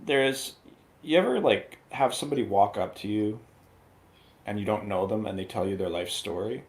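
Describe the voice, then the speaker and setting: A man's voice: lowish voice, moderate pace. A man on a radio movie review panel, chatting casually and giving opinions in a rambling, profane, conversational way. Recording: roomy, echoey sound.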